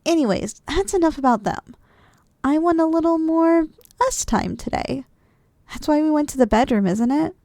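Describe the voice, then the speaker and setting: Drowsy, affectionate wife with a lilting high voice, acted by a woman. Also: expressive, normal speed, dry recording, studio quality. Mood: relaxed, musing. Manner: soft, affectionate, teasing, with sighs and giggles. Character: affectionate, drowsy, playful wife cuddling after a big dinner. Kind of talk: ASMR roleplay, intimate one-sided dialogue.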